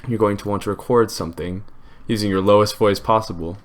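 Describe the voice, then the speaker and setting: A man hosting a casual software tutorial, explaining informally step by step. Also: lowish voice, steady tone, brisk. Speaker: tutorial host.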